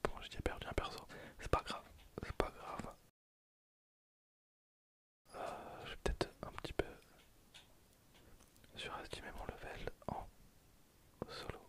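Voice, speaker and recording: ASMR game streamer: fully whispered, soft, casual delivery, thinking aloud while playing. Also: a little slow, long pauses. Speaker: ASMR gaming video creator. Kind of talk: whispered ASMR gameplay commentary.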